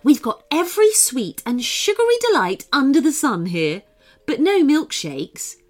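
A woman voicing a loud, bossy, cheerful beach-going woman in a storybook, booming and emphatic. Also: big pitch swings, measured pace.

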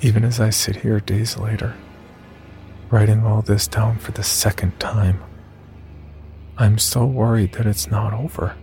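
A man with a gravelly voice reading a scripted first-person horror story as its narrator. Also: lowish voice, steady tone, unhurried, pauses often, warm tone. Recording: music behind the voice.

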